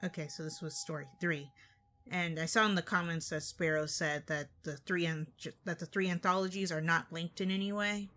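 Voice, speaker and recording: A man's voice: a little high, fairly even intonation, normal speed, sharp tone. A man giving casual, off-the-cuff reaction commentary as the host of the video.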